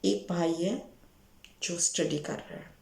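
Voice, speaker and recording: A woman with a Pakistani accent speaks very slowly in a lowish voice with a lot of creak, slightly hoarse from a sore throat. She sounds like a matchmaker informally reading out a profile, dropping English words into her speech. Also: steady tone, darkish tone, reverberant, a little hiss or hum. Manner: Urdu mixed with frequent English words. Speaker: matchmaker presenting a marriage proposal profile. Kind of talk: informal spoken profile presentation to viewers.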